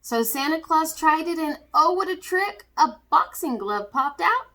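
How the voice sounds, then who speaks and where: A woman reads a rhyming children's story aloud with a high, bright voice. Her delivery is theatrical and swings widely in pitch, as a read-aloud for young listeners. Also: a little slow. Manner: animated, theatrical. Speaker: teacher reading a picture book aloud to children.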